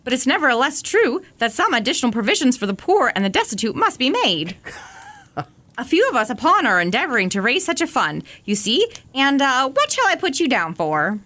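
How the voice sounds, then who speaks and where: A genteel charity collector, a gentleman played by a woman, in a radio play. The voice is on the high side and very expressive in pitch, with formal, courteous, persuasive phrasing. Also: fairly quick, exaggerated voice. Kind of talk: radio drama acting.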